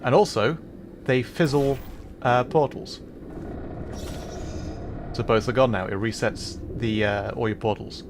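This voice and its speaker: A man's voice: mid-range voice, measured pace, long pauses, noisy background. A man livestreaming a video game, giving casual commentary and rambling explanations with fillers and little laughs.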